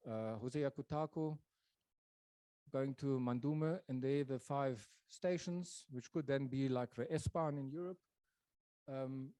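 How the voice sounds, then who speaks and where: Man giving a lecture, mellow warm tone, thoughtful and halting, many fillers, dry close sound. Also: mid-range voice, no room sound. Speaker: presenter. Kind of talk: public lecture presentation. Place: lecture venue.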